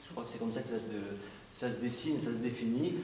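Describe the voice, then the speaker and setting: A man hosting an interview in a theatre hall talks fast, chatty and joking, with plenty of hesitations. His voice sits in the middle of the male range. It is picked up from a distance, with a roomy echo and faint background noise. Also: mid-range voice, a little mellow. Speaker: host. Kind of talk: casual presenter interview.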